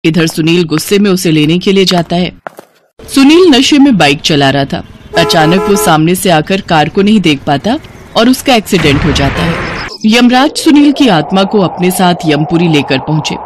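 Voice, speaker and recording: A woman's voice: on the low side, expressive, moderate pace, background noise. A woman reading scripted narration for a cartoon story.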